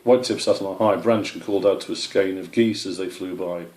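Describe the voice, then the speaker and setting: A man reading a children's story aloud in an expressive narrating voice. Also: low voice, fairly quick. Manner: expressive, with character voices for animals. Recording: roomy, echoey sound.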